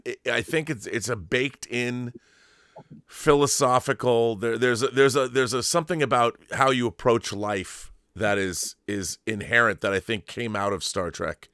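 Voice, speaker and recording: A man's voice: medium pitch, moderate pace, a bit bright, clean tone, no echo. A man chatting casually and enthusiastically as an interviewer, earnest and emphatic, often repeating words as he restarts his sentences.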